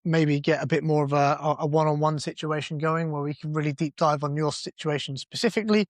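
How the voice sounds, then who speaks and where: A man's voice: on the high side, steady tone, fairly quick, no room sound. A man co-hosting a business podcast, talking bluntly and casually, with some swearing and self-mockery. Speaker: podcast co-host.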